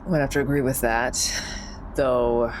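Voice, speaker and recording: A woman with a low-pitched voice talks as a player in a tabletop game. She is chatty and informal, often thinking aloud. Some noise sits behind her. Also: fairly even intonation, moderate pace, darkish tone. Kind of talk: casual in-game chat.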